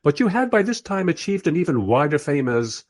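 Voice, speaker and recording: A man playing a wry, politely exasperated film director who narrates a radio play in conversational asides with a husky voice. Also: on the high side, big pitch swings, a little fast, no echo, studio quality.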